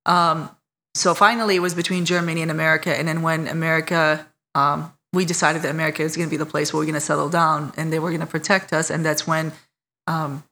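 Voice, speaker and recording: A woman's voice: sits low, flat delivery, fast. A woman from Iraq, a podcast guest telling her life story in a candid, self-mocking way. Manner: warm, candid, laughs at herself. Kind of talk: casual interview storytelling.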